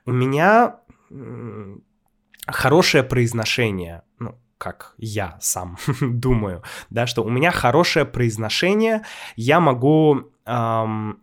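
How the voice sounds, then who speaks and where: A man's voice: mid-range voice, very animated, takes it slowly. Hesitant man explaining at an easy pace. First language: Russian. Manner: thoughtful, hesitant, with many fillers and restarts. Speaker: Russian language teacher and YouTuber. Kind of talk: casual explanatory monologue.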